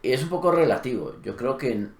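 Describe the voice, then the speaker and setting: A middle-aged man co-hosting a casual conversation, stating his views calmly. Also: mid-range voice, fairly quick. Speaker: co-host of a language channel. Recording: roomy echo.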